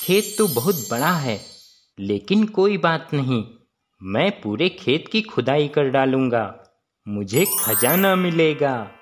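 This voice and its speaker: A man's voice: medium pitch, sing-song, slow pace, soft background music. A man voicing a naive, earnest and meek village farmer in a cartoon moral story. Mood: hopeful. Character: naive, hopeful village farmer dreaming of treasure.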